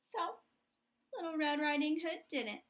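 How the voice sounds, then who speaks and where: A woman's voice: high voice, animated, measured pace, fairly bright tone. A woman reading a children's picture book aloud expressively, as if to a class. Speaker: storybook reader for a class.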